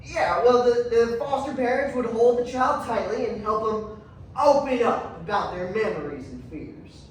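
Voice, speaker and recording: An actress plays an evasive female official, speaking slowly in a placating, hesitant way. The stage performance is heard from a distance in a very echoey space. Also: fairly high pitch, animated. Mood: defensive. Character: defensive, evasive official excusing harsh child therapy. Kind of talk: competitive stage readers theatre. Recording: echoey room, distant mic, faint noise.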